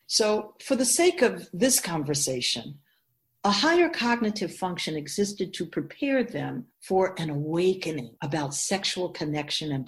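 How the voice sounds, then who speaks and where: A woman playing a wise otherworldly spirit in a radio drama, explaining things patiently in a measured, knowing way. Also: lowish voice. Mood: patient, explanatory. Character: Keeper of Souls, wise otherworldly spirit in female voice.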